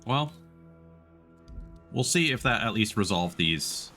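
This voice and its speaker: A man's voice: fairly low pitch, expressive, slow pace, pushed and bright, faint music behind. A man giving casual live gameplay commentary as a streamer, rambling and restarting his sentences mid-thought.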